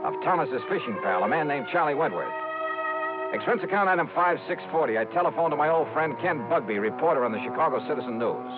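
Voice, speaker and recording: A man's voice: fairly high pitch, lively intonation, fast talker, music in the background, close to an everyday voice. An actor playing a tough, wisecracking male insurance investigator, narrating and questioning in 1950s radio drama style. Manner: tough, breezy first-person narration. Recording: narrow phone-like old radio recording.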